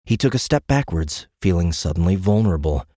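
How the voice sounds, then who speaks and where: A man reading scripted dramatic audiobook narration in a measured, suspenseful manner. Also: low voice, lively intonation, dark tone, no room sound, studio quality.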